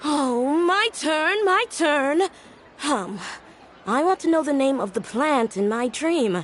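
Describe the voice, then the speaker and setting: A woman's voice: high-pitched, animated, slow, slight background noise. A woman playing an eager, curious villager in a video game.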